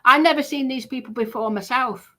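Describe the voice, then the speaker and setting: A woman streamer chatting casually in a halting way, often repeating herself. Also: high-pitched, bright, forceful tone. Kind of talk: casual chat.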